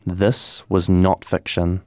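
A man reading a poem aloud in a measured, deliberate way with grave pauses. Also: sits low, completely flat delivery, a little mellow, no room sound, studio quality. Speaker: poetry reader.

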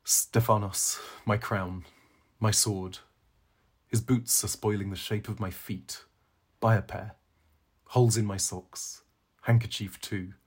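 A man reading a brooding, ironic young intellectual from a novel, musing in clipped, declamatory phrases with a husky voice. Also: on the low side, fairly even intonation, takes it slowly, long pauses, exaggerated voice.

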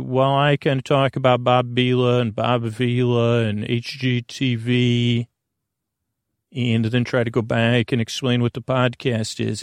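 A man with a creaky voice hosting a sleep podcast, meandering through halting, self-deprecating tangents. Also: medium pitch, no echo, studio quality. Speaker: podcast host. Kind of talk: rambling bedtime sleep podcast monologue.

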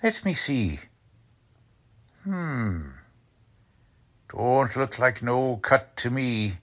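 A man playing a shrewd, suspicious investigator in a 1940s-style radio drama, questioning deliberately in colloquial, ungrammatical speech. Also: mid-range voice, big pitch swings, fairly bright tone, full character voice. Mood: suspicious, probing. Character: shrewd streetwise male investigator, quietly suspicious.

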